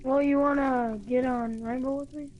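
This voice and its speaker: A woman's voice: high-pitched, fairly even intonation, normal speed, a little mellow, muffled recording. A young woman chatting casually with a friend while gaming. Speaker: gamer streaming with a friend. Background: game music.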